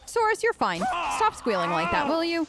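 A woman's voice: very high voice, sing-song, normal speed, heavy background noise. A woman gaming host doing casual let's play commentary, talking in character. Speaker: gaming host, role-player.

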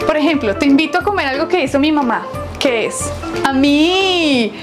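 A woman's voice: sits high, normal speed, music in the background. A young woman gives a chatty, playful walk-through of a restaurant, often laughing at her own jokes, recorded on a lapel mic. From Colombia. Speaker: vlogger touring a restaurant. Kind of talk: casual walk-through vlog. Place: large colorful restaurant interior, indoors.

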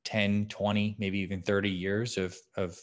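A man's voice: lowish voice, little pitch movement, normal speed. A man in his thirties, a health expert, answering interview questions over a video call. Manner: agreeable, frequent short affirmations. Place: home office.

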